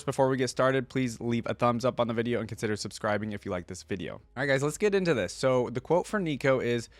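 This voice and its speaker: A man's voice: medium pitch, dry recording. A man hosting a reaction video, talking and reading aloud in a casual, conversational way. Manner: conversational, informal. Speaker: YouTube reaction host.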